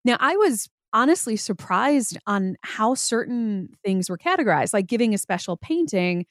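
A woman's voice: on the high side, expressive, normal speed, no room sound, clean studio sound. A woman hosting an interview podcast, asking conversational, thoughtful follow-up questions. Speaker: podcast host.

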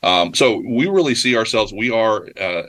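Deep-voiced man, halting and modest. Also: expressive, a bit bright. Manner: halting, modest, repeats words. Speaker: guest from a civic project. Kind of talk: radio interview answer.

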